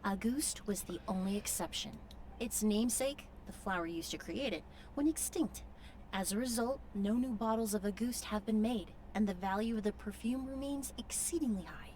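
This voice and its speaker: A woman's voice: medium pitch, normal speed, a little mellow, a little hiss or hum, close to an everyday voice, low-quality recording. A woman playing a polite, scholarly young perfumer in an anime video game, formal and composed as she explains. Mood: explanatory.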